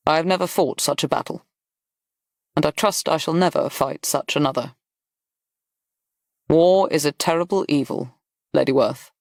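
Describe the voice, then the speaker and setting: A narrator voicing a brisk, blunt aristocratic general in an audiobook, with clipped, emphatic phrasing. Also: mid-range voice, lively intonation, no echo, clean studio sound, voice pushed up, lightly acted. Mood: heartbroken, grave. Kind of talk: audiobook character dialogue.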